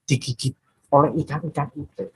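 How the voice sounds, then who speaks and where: A woman storyteller narrating a children's folktale expressively, with deliberate, drawn-out dramatic phrasing. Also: low-pitched, fairly even intonation, breathy edge.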